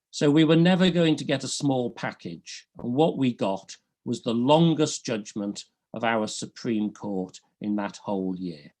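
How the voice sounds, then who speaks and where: Slow, lively British law lecturer. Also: male voice, medium pitch. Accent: Liverpool. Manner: dry humour, deliberate, rises and falls a lot. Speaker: law professor. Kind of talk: academic lecture.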